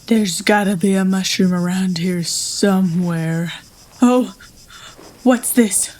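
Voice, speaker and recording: A woman's voice: mid-range voice, slow, a little mellow, natural voice. A woman playing a starving, childlike rancher in a post-apocalyptic audio drama, exclamatory, wide-eyed and desperate. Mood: hungry, curious. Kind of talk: live-streamed audio drama acting.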